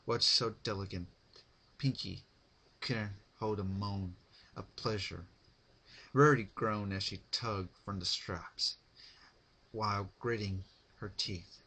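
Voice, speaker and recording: A man's voice: lowish voice, pushed and bright, husky. A man narrating a piece of fan fiction as a dramatic reading.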